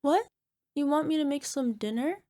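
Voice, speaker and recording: A woman's voice: sits high, expressive, normal speed, mellow. A woman playing a small, sheepish cyclops monster girlfriend in an ASMR roleplay, wheedling and making cutesy, defensive excuses. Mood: nervous, cheerful. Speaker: voice actor.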